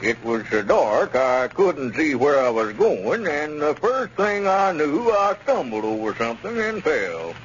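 On a muffled, faintly noisy 1930s radio recording, a wheedling, aggrieved small-town squire. His voice is a little high, smooth-talking and full of self-pity. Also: very animated, a little slow, few pauses. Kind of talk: 1930s radio comedy acting.

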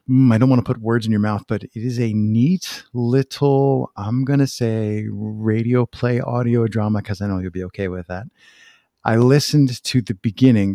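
A man's voice: lowish voice, dark tone, dry recording, clean studio sound. A man hosting a podcast, chatting informally and thinking aloud as he hedges his way toward his questions. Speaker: podcast host. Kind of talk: casual interview chat.

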